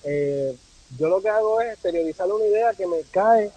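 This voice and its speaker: High-voiced husky Puerto Rican man, reflective. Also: animated, moderate pace, mellow, low-quality recording. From Puerto Rico. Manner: reflective, philosophical, repeats phrases for emphasis. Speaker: podcast guest, travel content creator. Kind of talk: casual conversational interview.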